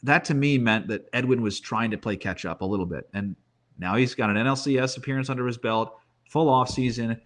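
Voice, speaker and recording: Male, conversational sports analyst, opinionated, mid-range voice, reasons out loud with rhetorical asides. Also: mid-range voice, a little fast. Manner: conversational, thinks aloud, rhetorical questions. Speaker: baseball analyst guest.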